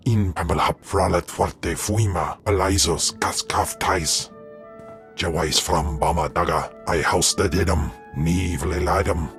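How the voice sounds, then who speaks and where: A man voicing a huge, grim, menacing alien brute in a video game, slow and ponderous, in an invented tongue. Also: sits low, a bit bright, faint music behind.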